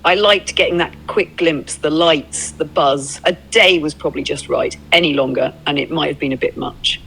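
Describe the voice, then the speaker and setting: A woman's voice: deep voice, moderate pace, gravelly, a bit bright, a little hiss or hum, poor audio. A synthetic woman's voice playing an easygoing, upbeat travel companion, conversational, reflective and encouraging. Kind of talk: AI voice companion in casual roleplay chat. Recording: music behind the voice. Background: music.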